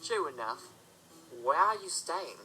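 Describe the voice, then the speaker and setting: Lady doing a low voiced pony bar owner, blunt, caring, no nonsense, forceful. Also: big pitch swings, a little slow, soft background music, full character voice, rough recording. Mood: concerned. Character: firm, caring female pony tavern keeper. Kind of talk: audio drama acting.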